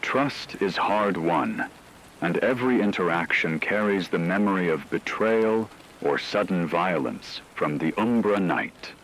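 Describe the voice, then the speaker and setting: A man playing a grave radio announcer, reading a scripted disaster broadcast in a solemn, measured way. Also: low-pitched, a bit bright, a little hiss or hum, poor audio. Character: grave male radio announcer reporting on an apocalyptic aftermath. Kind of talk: scripted fictional emergency radio broadcast narration.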